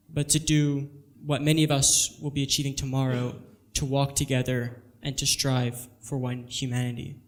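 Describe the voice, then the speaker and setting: Teenage male student speaker, earnest and measured, flat delivery. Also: mid-range voice. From Singapore. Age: teens. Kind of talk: prepared public talk.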